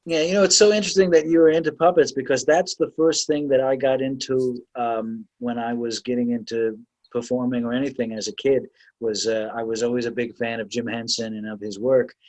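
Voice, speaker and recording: A man with an ordinary mid-range pitch hosts a casual interview over a video call. He rambles through long stories with plenty of fillers and laughs now and then. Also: steady tone, a little fast, darkish tone, poor audio. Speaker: podcast host.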